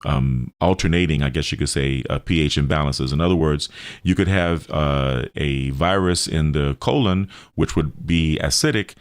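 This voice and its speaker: A man explaining health therapies in a radio interview, speaking haltingly and often repeating himself. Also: rumbling low, no room sound, studio quality. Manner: hesitant, halting delivery with repeated phrases.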